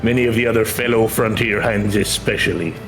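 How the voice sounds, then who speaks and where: A man's voice: on the low side, steady tone, a little fast, music in the background, close to an everyday voice. A man playing a hearty, generous fantasy leader, reading game dialogue in a genial, declamatory way. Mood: warm, resolute.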